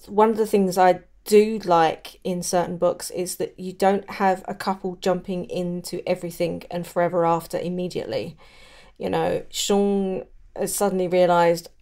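Lower-pitched woman chatting about books. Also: dark tone. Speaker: podcast co-host. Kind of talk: casual book discussion.

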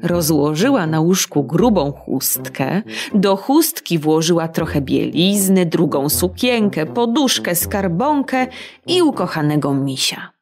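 Slow, expressive woman reading a children's tale. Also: fairly low pitch, soft background music. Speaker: storyteller.

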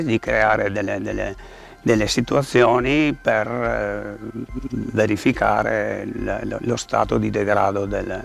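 Older man, low gravelly voice. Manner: halting, repeating words as he searches. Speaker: president of a regional caving federation. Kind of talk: explanatory talk to camera. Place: outdoors by a rock face.

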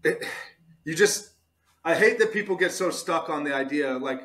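A man's voice: a little high, lively intonation, moderate pace, fairly bright tone. A man hosting a podcast, talking casually in an earnest, emphatic, motivational way and repeating his points for weight. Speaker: podcast host.

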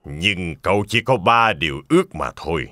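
A man playing a huge, calm, benevolent genie in a cartoon fairy tale, speaking slowly and solemnly. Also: sits low, no echo, clean studio sound. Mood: solemn, reassuring. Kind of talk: animated fairy tale dub.

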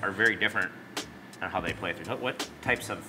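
A man's voice: low voice, fairly quick, noisy background. A middle-aged man hosting a casual interview in a small café, chatty, with short acknowledgments. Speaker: show host, interviewer. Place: small café by a street window.

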